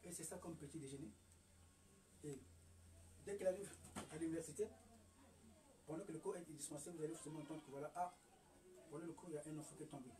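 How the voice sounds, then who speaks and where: A man's voice: a little high, fairly even intonation, quick pace, pauses often, warm tone, far from the mic, poor audio. A man from the Congo lecturing on diet and remedies, hectoring with rhetorical questions and stammered restarts. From Republic of the Congo. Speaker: herbal remedy promoter. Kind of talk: lecturing monologue.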